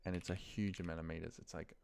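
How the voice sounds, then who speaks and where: Low, creaky-voiced Australian man. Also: little pitch movement, fast talker, darkish tone, slight background noise. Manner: informal, thinking aloud in short muttered asides. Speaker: office trainer. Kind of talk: casual screen-recorded walkthrough.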